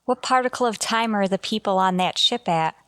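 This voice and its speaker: Actress as female starship communicator: mid-pitched, careful, earnest, sci-fi radio drama. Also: brisk, clean tone, lightly acted. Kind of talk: golden age sci-fi radio drama acting.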